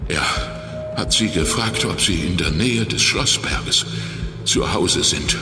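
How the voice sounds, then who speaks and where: An actor playing a weakened, injured ex-crook turned private detective in a children's audio drama, confessing in a halting, strained and determined way. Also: male voice, sits low, lively intonation. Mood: strained, grave. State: weak and exhausted from injury. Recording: echoey reverberant hall, music underneath.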